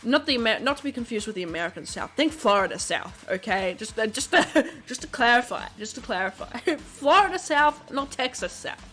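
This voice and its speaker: A woman's voice: on the high side, animated, a little fast, few pauses, a bit bright, faint music behind. Chatty Australian woman streamer. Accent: casual Australian. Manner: chatty, laughs often, swears freely. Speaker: VTuber streamer. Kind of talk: casual livestream chat.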